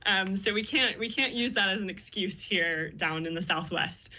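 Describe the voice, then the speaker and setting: A woman's voice: mid-range voice, normal speed, bright, forceful tone, faint music behind, poor audio. A young woman guest in a radio interview, chatty and upbeat, laughing easily as she talks. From born in the US, raised in the Netherlands. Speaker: guest, PhD student.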